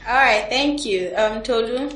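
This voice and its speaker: A woman's voice: a little high, a little slow. A woman hosting a movie review podcast, talking to her guests and sharing her own views. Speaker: podcast host. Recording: roomy, distant mic, background noise.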